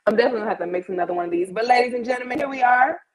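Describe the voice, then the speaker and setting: A woman's voice: fairly high pitch, very fast, a loud crowd, low-quality recording. A woman of about thirty hosting a casual livestream, chatting informally and slangily straight to her audience. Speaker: host of a live chat show.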